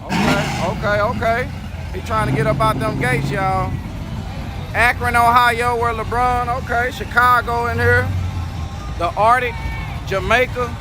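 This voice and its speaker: A man with a Texan accent chatting casually on a livestream, slangy and exclamatory, giving shout-outs as the host. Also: extremely high pitch, steady tone, a little slow. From Dallas, Texas. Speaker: livestream host of a street racing channel. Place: outdoors at a drag racing strip. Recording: noisy outdoor trackside with car sounds.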